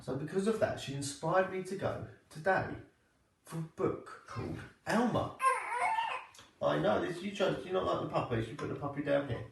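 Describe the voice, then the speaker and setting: A man reading a children's storybook aloud like a primary teacher, with expressive, deliberate phrasing. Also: fairly high pitch, gravelly, noisy background. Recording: echoey room, distant microphone.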